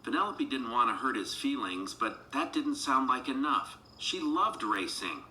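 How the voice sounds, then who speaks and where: A man narrating a children's read-along storybook in an animated, dramatic storytelling manner. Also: medium pitch, measured pace, slight background noise, low-quality recording. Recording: music behind the voice.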